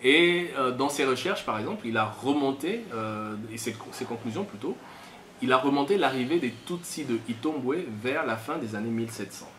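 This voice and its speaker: A man's voice: mid-range voice, expressive, moderate pace, fairly bright tone. Congolese-accented man explaining in an echoey room. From DR Congo, Kasai region. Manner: didactic, step-by-step with repetition. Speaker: commentator on history. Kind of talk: unscripted explanatory talk. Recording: echoey room, faint background noise.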